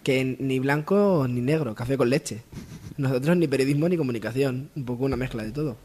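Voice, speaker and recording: A man's voice: medium pitch, a little fast, darkish tone, slight background noise. A young man with an accent from Spain, chatting and joking casually as a panelist on a comedy radio show. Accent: Spanish from Spain. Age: young adult.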